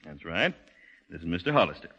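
An actor playing a formal, polite banker who is secretly a crime boss, speaking with authority in a 1930s radio drama. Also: male voice, deep voice, sing-song, fairly quick, husky, highs cut off, full character voice. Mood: commanding, polite.

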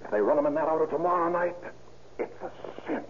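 A man playing a hard-boiled American robber boss in an old radio play. He fires off curt, slangy orders at a quick clip. The recording is muffled and noisy. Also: fairly high pitch, lightly acted. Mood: tense, commanding. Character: bossy, hard-boiled male mail robber giving orders. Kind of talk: 1930s radio acting.

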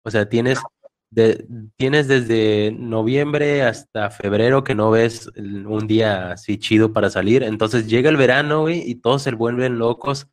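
A man with a Mexican Spanish accent, chatting casually on a podcast, relaxed and slangy. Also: mid-range voice, a little slow. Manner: relaxed, slangy, frequent backchannels. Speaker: podcast co-host. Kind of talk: casual chat.

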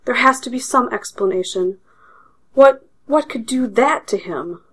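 A woman's voice: fairly high pitch, a little slow, fairly bright tone, slightly rough, pitched above the performer's own voice. A woman voicing Santa's distraught wife, snapping through tears. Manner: snappish, strained, tearful.

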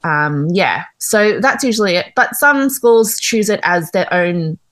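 A woman's voice: medium pitch, animated, fairly bright tone. Chatty Australian woman teacher. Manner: chatty, quick, with fillers and laughs. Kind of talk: casual discussion.

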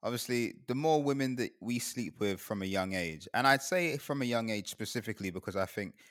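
A man's voice: fairly low pitch, expressive, brisk, fairly bright tone, no room sound, clean studio sound. A young man from Guyana in casual chat, rambling and laughing often as he talks.

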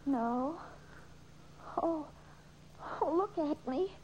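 A woman's voice: high-pitched, lively intonation, very slow, a little mellow, heavily acted. A woman playing a dazed, sick young secretary, faint and confused, in an old-time radio drama. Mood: distressed, confused. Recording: muffled, hissy old radio recording.

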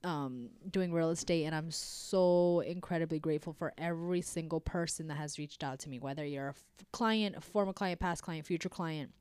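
Low-voiced woman podcast host with a cold. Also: moderate pace, hardly any pauses, clean tone, no echo. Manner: animated, laughs often, repeats words for emphasis. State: congested with a cold. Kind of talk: casual chat.

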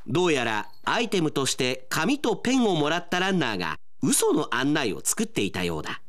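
A man's voice: on the high side, huge pitch swings, brisk, fairly bright tone. A male announcer reading scripted narration for a radio drama.

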